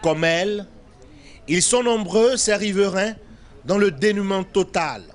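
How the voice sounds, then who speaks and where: A man reading a scripted news voice-over in a measured, formal way. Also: sits high. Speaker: news reporter.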